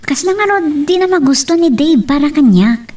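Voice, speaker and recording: A woman's voice: sits high, expressive, moderate pace, darkish tone, distant mic. An actress playing a dutiful young woman in love in an Ilocano romance drama. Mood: worried, confiding. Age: young adult. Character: young woman, recent college graduate, dutiful daughter in love. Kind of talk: Ilocano radio-style romance drama acting.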